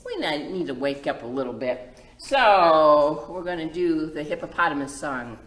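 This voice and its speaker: A woman's voice: deep voice, animated, normal speed. A middle-aged woman hosting a children's storytime, reading a picture book aloud and putting simple questions to young listeners. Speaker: children's librarian and storytime host. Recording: roomy echo.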